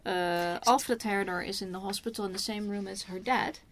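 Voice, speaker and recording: A Dutch woman with a medium-pitched voice, speaking English as a second language. She walks through a film's plot in an easy conversational way, chiming in briefly when her co-host speaks. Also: steady tone. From Netherlands. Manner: plain storytelling with quick interjections. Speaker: film review co-host. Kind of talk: casual chat, retelling a film plot.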